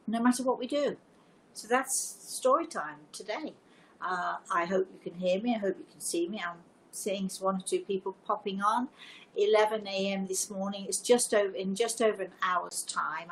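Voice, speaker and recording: An older woman hosting a casual livestream, chatting to viewers in a deliberate way with emphatic repetition. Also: mid-range voice, low-quality recording. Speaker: live show host and storyteller. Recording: noticeable background noise.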